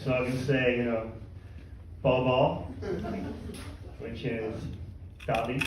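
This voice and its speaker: A man doing a stand-up comedy set in a club, delivering crude deadpan punchlines with halting asides to the crowd. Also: mid-range voice, a little hiss or hum. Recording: echoey club miked from a distance. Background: audience laughter.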